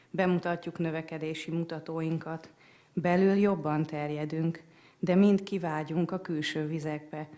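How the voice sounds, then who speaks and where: A woman's voice: sits low, mellow. Hungarian woman reading poetry. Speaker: visiting writer. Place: public library event room.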